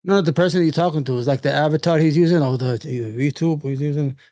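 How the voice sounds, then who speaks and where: A man's voice: fairly high pitch, quick pace, warm tone, dry recording, dull audio. A man in a heated online debate over a voice call, blunt and provocative, with broken phrasing. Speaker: debate guest.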